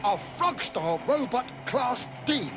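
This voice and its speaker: An exasperated ally in battle in a radio comedy. He is very high and animated, dry and urgent, over music and effects. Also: slow, exaggerated voice. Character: urgent, dry, knowing male rescuer in battle. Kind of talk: radio sci-fi comedy acting.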